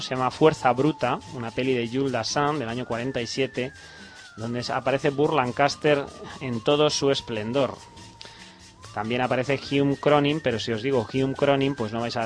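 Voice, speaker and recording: A man with an accent from Spain hosting an informal radio cultural agenda, hesitating and adding colloquial asides. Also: mid-range voice. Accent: Spanish (Spain). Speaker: radio host.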